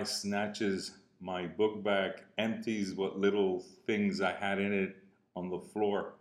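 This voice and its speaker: Cuban-accented older man telling his story. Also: sits low. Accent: Cuban Spanish. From Cuba. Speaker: business coach. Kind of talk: personal storytelling talk. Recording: roomy echo.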